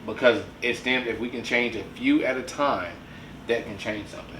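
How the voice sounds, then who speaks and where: A man's voice: mid-range voice, normal speed, a bit bright, echoey room, far from the mic, a little hiss or hum, low-quality recording. A man in his forties hosting a casual podcast discussion, talking earnestly and preachily and often asking "you know what I'm saying?". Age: 40s. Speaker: podcast host.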